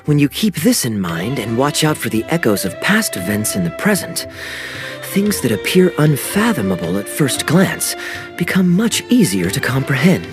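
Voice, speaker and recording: An actor playing a charming, playful young adventurer in a video game cutscene, with a smooth, easy delivery. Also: male voice, mid-range voice, big pitch swings, normal speed, music in the background. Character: suave, teasing young adult male adventurer. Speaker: game character.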